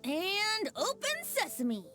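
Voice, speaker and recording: A woman's voice: extremely high pitch, huge pitch swings, takes it slowly, music in the background, exaggerated voice. A woman voicing a playful, teasing young male bard in an anime-style video game, with a light boyish voice and wry, theatrical delivery.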